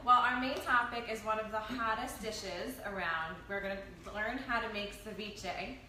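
A woman's voice: mid-range voice, moderate pace, bright, forceful tone, a little hiss or hum. A woman co-hosting a live stream, eager and asking the chef questions. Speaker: co-host. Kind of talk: casual live-stream chat. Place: restaurant. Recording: echoey restaurant.

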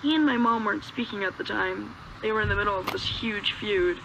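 A woman's voice: mid-range voice, brisk, background noise, natural voice. A woman playing a hesitant young caller. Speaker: radio caller. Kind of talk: video game voice acting.

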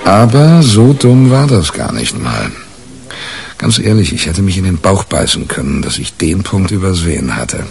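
Cynical reporter-narrator, very low voice, wry colloquial boasting, radio crime drama, faint music behind. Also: male voice, very animated, moderate pace, creaky, darkish tone, heavily acted. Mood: candid, wry. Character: cynical, self-assured male press reporter telling his story.

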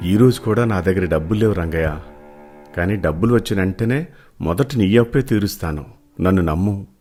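An actor playing a poor, humble, weary village cobbler in an animated moral story, earnest and pleading. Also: male voice, low voice, fairly quick, faint music behind, lightly acted. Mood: downcast, humble.

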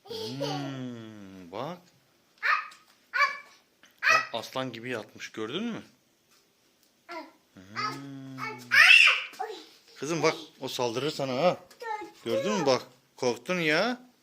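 A man's voice: medium pitch, huge pitch swings, extremely slow, long pauses. A man talking casually to a young child as a parent, coaxing in short, repeated prompts. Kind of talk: casual child-directed chat. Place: outdoors near animals. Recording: noisy amateur outdoor video.

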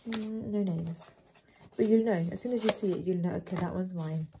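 A woman chatting casually with a young child in a gentle, coaxing, reassuring way, indoors in a home kitchen. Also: fairly low pitch, moderate pace, creaky. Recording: noisy room, handling and crinkling sounds.

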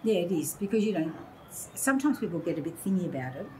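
Lively Australian woman in her sixties. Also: lowish voice, quick pace, a little hiss or hum, poor audio. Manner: animated storyteller, acts out quoted voices. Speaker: interview guest. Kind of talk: casual reminiscing conversation. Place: indoor room by large windows.

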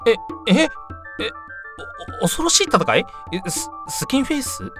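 Guy as a confused timid teen boy in a voice drama, stammery, pitch all over, music behind. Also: big pitch swings, unhurried, full character voice. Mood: baffled. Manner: hesitant, stammering. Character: timid, bewildered teenage boy, reluctant newcomer. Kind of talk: amateur voice drama acting. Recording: music under the voice.

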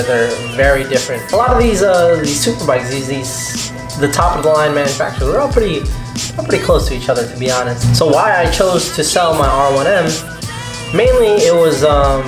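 Chatty man in an echoey garage. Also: mid-range voice. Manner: loose and chatty, with many fillers and restarts. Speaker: motorcycle vlogger. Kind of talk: casual motovlog talk. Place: home garage.

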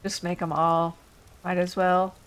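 A woman's voice: fairly low pitch, little pitch movement, a little slow, clean tone. A woman hosting a casual let's play, thinking aloud unhurriedly while getting over an illness. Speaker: gaming video host.

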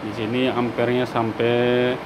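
A man's voice: medium pitch, little pitch movement, a little slow. A man with an Indonesian accent giving a casual, explanatory product demonstration, repeating key points. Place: indoor workshop. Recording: clear workshop hum and ambient noise.

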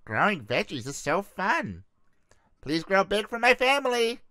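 A cheerful, kindly plague demon, acted by a man in a comic dub. He is plump and earnest, with a bright, animated voice recorded dry and close. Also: sits high, a little slow, no echo, heavily acted.

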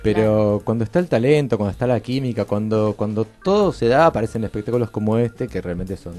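A man's voice: lowish voice, animated, brisk, dark tone, faint music behind. A man with an Argentine accent co-hosting a radio arts show in a small studio, talking casually with easy fillers. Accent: Argentine Spanish. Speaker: radio co-host. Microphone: studio mic with headphones.

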